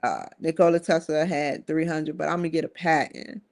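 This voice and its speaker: A woman's voice: low-pitched, steady tone, a little fast, darkish tone. A woman in her forties hosting a live-streamed solo talk show, rambling earnestly with frequent restarts. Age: 40s. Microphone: streaming setup.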